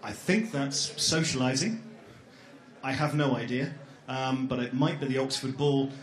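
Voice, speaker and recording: A man's voice: medium pitch, measured pace. A middle-aged man with an ordinary mid-range voice co-presents at a fair. He rambles a little and slips in jokes and ums, and the sound of a big hall hangs around his words. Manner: jokey, rambling, with many fillers. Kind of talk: informal presentation at an education fair. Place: large echoey exhibition hall. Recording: echoey hall.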